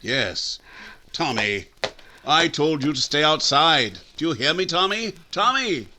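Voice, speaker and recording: A man's voice: a little high, very animated, measured pace, bright, forceful tone, a little hiss or hum, full character voice. A man playing a firm, protective small-town doctor and father in a 1940s-style radio drama. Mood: stern, shocked.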